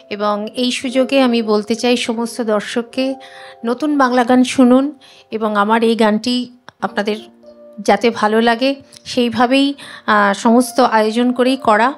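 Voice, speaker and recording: Slightly high-voiced woman, guest singer. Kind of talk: casual talk, introducing a song.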